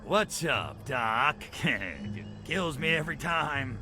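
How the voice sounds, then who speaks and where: A lecherous, wisecracking alien invader from a video game. His voice sits a little high and swings widely as he drawls out sardonic innuendo. Music plays prominently behind him. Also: sing-song, very slow, exaggerated voice. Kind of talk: video game cutscene acting.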